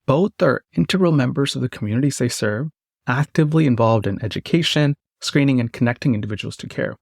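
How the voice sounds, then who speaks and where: A man's voice: mid-range voice, fast, gravelly, darkish tone, dry recording, clean studio sound. A man hosting a podcast, explaining in a scripted, presenterly way and posing questions. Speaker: podcast host. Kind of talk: scripted narration and interviewing.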